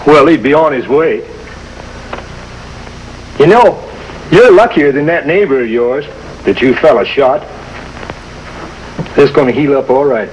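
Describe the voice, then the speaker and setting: A man's voice: mid-range voice, lively intonation, unhurried, pauses often, natural voice. An actor playing a principled, plain-spoken country man with a rural American accent in a 1950s television drama. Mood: patient. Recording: noisy old 1950s TV soundtrack.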